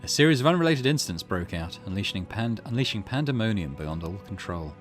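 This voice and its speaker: A grave narrator of a dark visual novel voiced by a man. The delivery is measured and fairly low in pitch. Music sits clearly behind the words. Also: lively intonation, lightly acted. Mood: solemn. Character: solemn narrator of a dark occult mystery story. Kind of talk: scripted narration read aloud from a visual novel. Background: game music.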